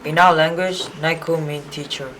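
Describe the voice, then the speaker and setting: A man's voice: a little high, normal speed, lightly acted. A young man playing a student who gives a hesitant class presentation in broken phrasing, in an amateur student drama. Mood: unsure. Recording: distant mic with steady background noise.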